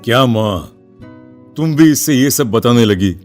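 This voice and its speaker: A man voicing a worried, protective middle-aged father in an animated story. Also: mid-range voice, a little slow, faint music behind, natural voice. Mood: stern, worried.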